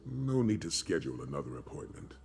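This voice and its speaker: An actor playing a grave, wise dragon-hunter commander in a video game, speaking in a measured, solemn, formal way. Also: male voice, a bass voice, sing-song, creaky, full character voice. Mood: commanding, measured. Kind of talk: video game dialogue acting. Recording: music behind the voice.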